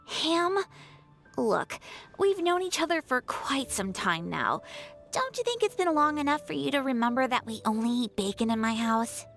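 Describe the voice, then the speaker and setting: A reproachful townswoman from a game. The voice is high and animated, fussy and pointed, over faint music. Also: unhurried, exaggerated voice. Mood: exasperated. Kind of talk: game voice acting.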